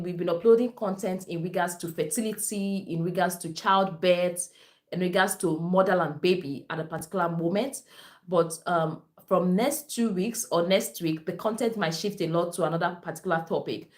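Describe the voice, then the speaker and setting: A woman's voice: fairly low pitch, brisk. A Nigerian-accented woman whose first language is Esan, a nurse hosting a live stream, reading viewer comments and repeating herself as she talks straight to her audience. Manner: repetitive, addresses viewers directly.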